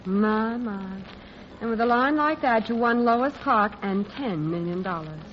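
A woman's voice: fairly high pitch, lively intonation, a little slow. Witty, lilting 1940s radio leading lady. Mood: playful, wry. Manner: playful, teasing, wry. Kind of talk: 1940s radio romantic comedy acting. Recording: muffled, noisy old radio broadcast.

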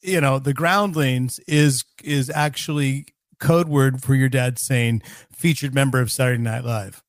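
A man's voice: medium pitch, unhurried, no echo. Rambling man hosting, dry close sound. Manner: rambling, self-mocking. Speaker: podcast host. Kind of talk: casual chat.

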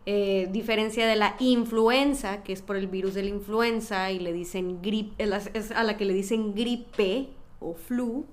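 A woman's voice: mid-range voice, fairly even intonation, moderate pace, few pauses. A woman with a Mexican accent, a doctor explaining things in casual podcast conversation.

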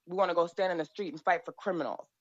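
A woman's voice: on the low side, steady tone, racing, no echo, lo-fi sound. A woman speaking as an interview guest, blunt and emphatic, repeating her points. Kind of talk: opinionated interview talk.

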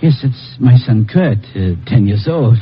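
A man's voice: medium pitch, animated, measured pace, close to an everyday voice. A man playing an anxious, protective European professor in an old radio drama, speaking formally and hesitantly. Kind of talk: 1950s radio drama acting. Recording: muffled old radio broadcast, faint noise.

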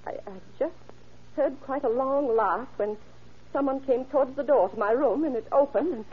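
Elderly genteel mother, played by a woman, halting and shaken, 1930s radio drama, dull recording with faint hiss. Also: sits high, lightly acted. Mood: shaken, recounting. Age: older. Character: polite, shaken elderly mother of a shooting victim. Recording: muffled, noisy old radio recording.

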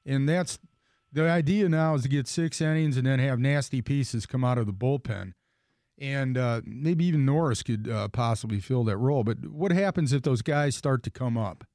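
A man's voice: medium pitch, moderate pace, a little mellow, no room sound. Rambling man hosting sports radio, dry studio sound. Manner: rambling, self-interrupting, emphatic. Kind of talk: casual sports talk radio.

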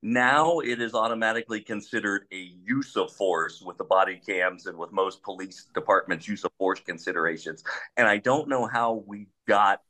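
Panel guest: man, police trainer, big voice, very low and forceful, blunt and measured. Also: low-quality recording. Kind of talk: panel discussion.

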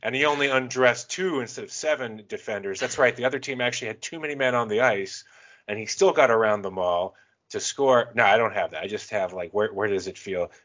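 A deep-voiced man co-hosting a hockey podcast as the fan, rambling and joking about how he ranks plays. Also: a little fast, fairly bright tone, lo-fi sound. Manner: rambling, self-deprecating, joking. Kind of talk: casual podcast chat.

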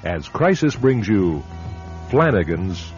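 A man narrating a scripted suspense radio drama as announcer, with a measured, dramatic storytelling delivery. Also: deep voice, sing-song, a little mellow, soft background music. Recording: dull old radio broadcast.

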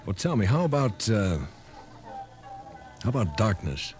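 A man's voice: fairly low pitch, big pitch swings, a little slow, a little mellow, faint music behind. An actor in an old-time radio drama playing a polite, persistent newspaperman with a probing, slightly hesitant manner. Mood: inquisitive.

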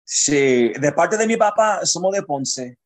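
A man from Chicago talks as a guest poet in a relaxed interview. He thinks out loud, feeling his way toward each point. His voice sits somewhat high for a man. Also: slow. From Chicago, United States. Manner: reflective, searching for words. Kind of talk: conversational interview.

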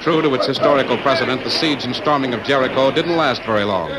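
A man's voice: mid-range voice, fast, heavy background noise. A man narrating an old-time radio drama from a script, in a dramatic, formal announcer delivery. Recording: old radio recording with crowd din.

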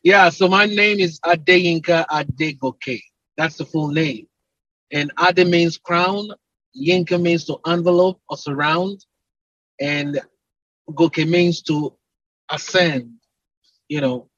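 A man with a Nigerian accent talks at length in an interview, in a slightly high, husky voice. He explains things emphatically, stacking up repeated phrases in lists. The sound is dry and close, though a bit dull and lo-fi. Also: steady tone, slow pace, long pauses, a bit bright, no echo. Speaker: entrepreneur and company CEO, podcast guest.

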